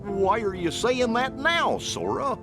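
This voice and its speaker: A man voicing a kindly, loyal, bumbling cartoon dog sidekick in a video game, husky and folksy. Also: high-pitched, wildly swooping pitch, takes it slowly, over music, exaggerated voice. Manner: folksy, bumbling, good-natured.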